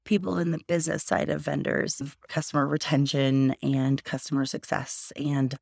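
A sleepy, hoarse woman in her thirties hosting a podcast, rambling in a chatty way and circling back to her point. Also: low-pitched, flat delivery, normal speed, a little mellow, dry recording, clean studio sound. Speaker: podcast host. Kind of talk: casual solo podcast talk.

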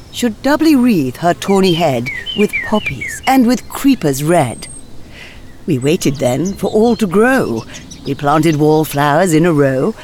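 Posh english lady writer looking back, wistful n literary, lowish voice, lots of lilt. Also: darkish tone, slight background noise, heavily acted. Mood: lyrical. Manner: measured, literary, genteel delivery. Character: Vita Sackville-West, refined aristocratic English woman writer, wistful. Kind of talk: scripted first-person monologue, reflective storytelling.

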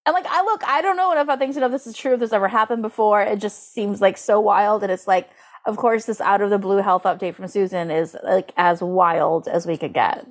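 Woman cohosting a comedy chat podcast, average pitch, very informal, kinda incredulous, says like a lot. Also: fairly quick. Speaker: podcast co-host. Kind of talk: casual comedic chat with dramatic reading aloud.

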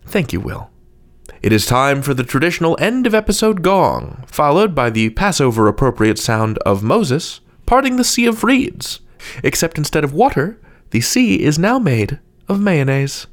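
A man's voice: on the high side, very animated, moderate pace. A man hosting a podcast, reading semi-scripted announcements in a playful, wry way. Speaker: podcast host.

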